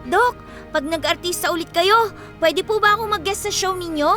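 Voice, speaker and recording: A woman's voice: extremely high pitch, normal speed, a bit bright. A woman voicing an eager, curious schoolgirl who politely questions her doctor in a Filipino radio drama. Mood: excited. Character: eager, starstruck schoolgirl patient, curious and giddy. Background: faint background music.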